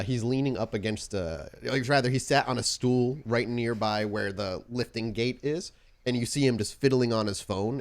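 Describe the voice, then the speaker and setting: A man improvising tabletop game narration as game master, descriptive and somewhat halting, addressing the players directly. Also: fairly low pitch.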